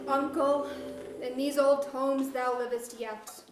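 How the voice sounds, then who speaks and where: A woman's voice: sits high, flat delivery, slow pace, over loud music. A woman acting a proud, embattled sorcerer monarch in a staged play reading, speaking haughty, formal archaic verse. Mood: brooding. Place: museum auditorium. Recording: echoey auditorium, distant mic, faint noise.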